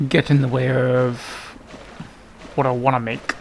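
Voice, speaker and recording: A man's voice: mid-range voice, level tone, unhurried, gravelly, slight background noise. A man giving casual let's play commentary, muttering to himself in short remarks. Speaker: gaming video creator. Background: faint game music.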